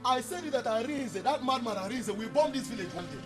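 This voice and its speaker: An actor with a Nigerian accent playing an excitable, loyal younger sibling in a film drama, insistently repeating phrases over music. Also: male voice, very high-pitched, brisk. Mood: indignant.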